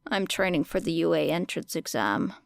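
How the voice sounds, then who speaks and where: A woman voicing a wary, defensive teenage boy in an audiobook, with a flat, matter-of-fact delivery. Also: low voice, normal speed, gravelly, no echo, studio quality, voice pushed down. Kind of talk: audiobook character dialogue.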